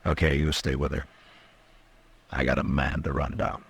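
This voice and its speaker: An actor playing a stern, weary US marshal in an old-time radio western, terse and grave. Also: male voice, extremely low pitch, level tone, moderate pace, husky, full character voice. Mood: grave, pressing. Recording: old radio drama with music.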